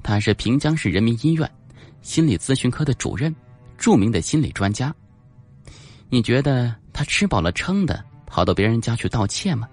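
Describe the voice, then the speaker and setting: A teasing, jealous young policewoman acted by a male audiobook reader. The voice is pitched up and lively, with a bossy edge. She sounds sharp-tongued and quick to scold. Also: faint music behind. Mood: exasperated. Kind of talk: audiobook character acting.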